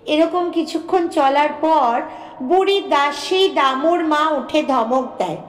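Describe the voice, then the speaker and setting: Female storyteller, high pitch, expressive bedtime narration with noticeable room echo. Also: slow. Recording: roomy, echoing voice recording.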